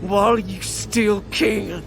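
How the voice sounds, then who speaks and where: A performer playing a cryptic, menacing figure in a horror video game, ominous and deliberate. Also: medium pitch, fairly even intonation, creaky. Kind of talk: dramatic video game cutscene acting. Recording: music under the voice.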